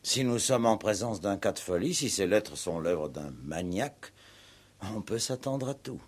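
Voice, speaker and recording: An actor playing a calm, probing police commissioner in a radio play, measured and drily ironic. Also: male voice, fairly low pitch, breathy edge, full character voice. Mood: grave, measured. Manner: measured, interrogating, gently ironic. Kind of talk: 1960s French radio play acting.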